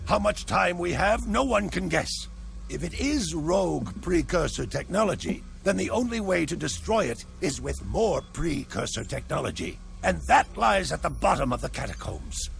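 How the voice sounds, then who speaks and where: A grumbling old sage in a game. The voice is strongly gravelly and a little high, rising and falling with impatience. Faint music sits behind it. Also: male voice, very animated, moderate pace, full character voice. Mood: gruff.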